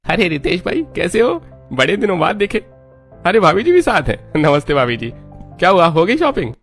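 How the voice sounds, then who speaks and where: A man plays a hearty married friend in a cartoon tale. The voice is on the high side, emphatic and familiar. Light music sits under the dialogue. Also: very animated, moderate pace, a bit bright, exaggerated voice. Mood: cheerful greeting. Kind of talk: scripted cartoon story dialogue.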